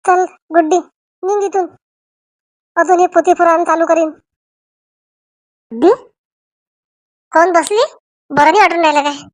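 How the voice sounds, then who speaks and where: A woman acting as an excitable village auntie from a comedy cartoon, with a high voice and a Varhadi Marathi accent. She fusses and exclaims, often repeating words, in a dry close recording. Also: measured pace, pauses often, dry recording. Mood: coaxing. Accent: Varhadi Marathi from Vidarbha. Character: Shantabai, excitable, fussing rural Marathi auntie. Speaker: cartoon channel's main character and presenter. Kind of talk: homemade comedy cartoon dialogue.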